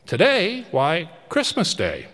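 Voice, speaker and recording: A man voicing a quick, cheeky street boy who answers in short, offhand replies. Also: fairly high pitch, wildly swooping pitch, fairly bright tone, pitched above the performer's own voice, full character voice. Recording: long reverberant hall.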